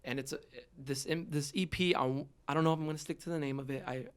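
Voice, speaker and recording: A man's voice: fairly high pitch, steady tone, quick pace, clean tone. A man in his twenties speaking candidly and earnestly as a guest in a conversational interview. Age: 20s. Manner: earnest, heartfelt. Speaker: podcast guest, rapper.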